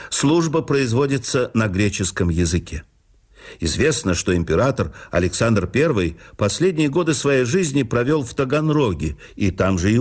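Lively-voiced male memoir narrator. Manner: measured, storytelling delivery with dips and lifts in pitch. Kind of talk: scripted memoir reading for radio.

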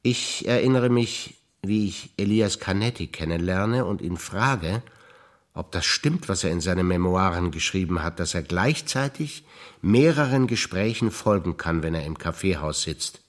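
Older German man, low measured voice. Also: expressive. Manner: measured, dry recounting of memories, trading lines. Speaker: stage actor. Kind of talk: staged memory recitation.

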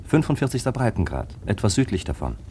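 Low-pitched, matter-of-fact narrator. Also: male voice, very animated, fast talker, warm tone, slight background noise. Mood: sober. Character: sober, matter-of-fact commentator guiding the story. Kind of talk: scripted radio play narration.

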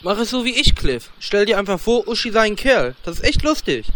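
Man as a high, whiny adventurer. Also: sing-song, fairly quick, a bit bright. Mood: flippant. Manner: complaining. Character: whiny, stingy, flippant male adventurer. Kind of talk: parody audio drama acting. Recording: noisy background.

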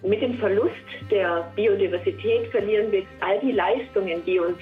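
A woman's voice: mid-range voice, moderate pace, soft background music. A woman speaking as an expert, giving an explanatory statement. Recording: muffled phone-like sound, echoey room.

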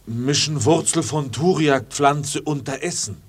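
An actor playing a fearful, submissive servant to explorers, husky and deferential, speaking halting broken German in a radio play. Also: male voice, fairly high pitch. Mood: reluctant, submissive. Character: fearful, submissive male native servant left behind with explorers.

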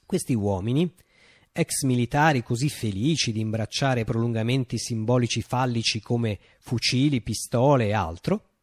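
An actor playing a visionary man recounting his utopian revolution in a declamatory, deliberate radio monologue. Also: medium pitch, no echo, close to an everyday voice. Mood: measured, wry. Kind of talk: theatrical radio monologue, dramatic storytelling.

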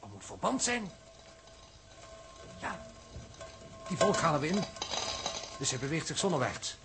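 A man's voice: fairly high pitch, big pitch swings, a little slow, over music. A man acting an analytical, insistent spaceship crewman in a 1960s radio drama. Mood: grave reasoning. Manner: urgent, explanatory. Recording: noisy old 1960s radio recording.

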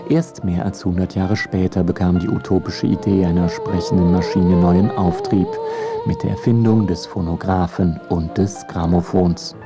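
A man's voice: deep voice, fairly even intonation, normal speed, over music. A man narrating a scripted radio feature in a wry documentary style.

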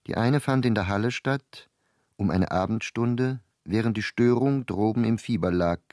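A composed male narrator played by an actor with a low voice in a very dry recording. He reads literary prose for a radio play, measured and gently ironic. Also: no room sound, close to an everyday voice. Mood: measured, wry.

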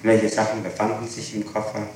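A man with a fairly low, flat voice puts short, insistent questions in a formal, matter-of-fact interrogation. The old tape is noisy and heavy with room echo. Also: brisk. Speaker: police interrogator.

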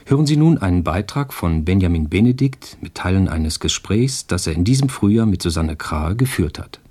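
Male radio presenter, deep voice with some rasp, scripted introduction. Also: animated, moderate pace, dark tone.

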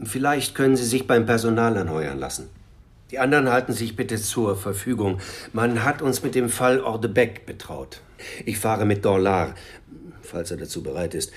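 An actor playing a brusque, composed police commissioner in a radio crime drama, giving orders. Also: male voice, mid-range voice, expressive, moderate pace, natural voice. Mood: matter-of-fact, commanding.

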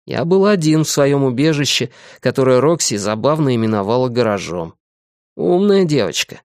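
A man narrating an audiobook in the first person, his pitch in the everyday middle range. His delivery is measured and reflective, and the recording is dry and close with no room sound. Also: very animated, no echo.

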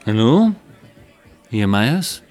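An actor playing a withdrawn writer on the phone, terse and hesitant, in radio drama dialogue. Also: male voice, on the low side, very animated, very slow, music in the background, full character voice. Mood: guarded.

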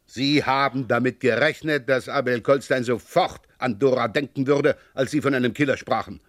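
An actor playing a stern, sardonic French police inspector in a 1980s German radio crime drama, insistent and dryly sarcastic. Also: male voice, medium pitch, normal speed, no room sound, studio quality, lightly acted. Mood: accusing.

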